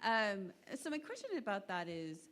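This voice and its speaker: A woman in the audience of a meeting asks a question; she talks freely and says she has a loud voice. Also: mid-range voice, sing-song, normal speed. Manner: talkative, loud-voiced by her own account. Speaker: audience member asking a question. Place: meeting room.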